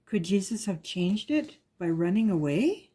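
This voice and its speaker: A woman reads a rhyming picture book to children in a soft-edged, mellow voice with some huskiness. Her delivery is measured and follows the lilt of the verse, at a middling pitch. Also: very animated, breathy edge. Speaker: guest story reader.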